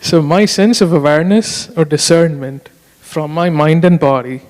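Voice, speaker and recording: A man's voice: fairly high pitch, normal speed, darkish tone. A man from Boston asking a teacher a question as an audience member, often checking for agreement. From Boston, United States. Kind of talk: spoken question at a retreat. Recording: echoey hall with long reverb.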